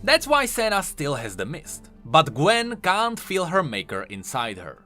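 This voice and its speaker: Male commentator, scripted explainer, bright forceful tone, swooping emphatic delivery, faint music behind. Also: a little high, wildly swooping pitch, measured pace. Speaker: video creator, game lore commentator.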